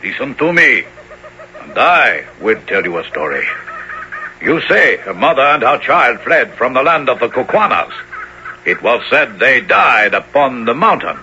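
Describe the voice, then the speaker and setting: Proud African warrior king, very bright forceful tone, animated pitch, declamatory, radio drama, dull noisy recording. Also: full character voice. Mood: solemn. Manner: declamatory, measured, formal. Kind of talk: 1970s radio drama acting.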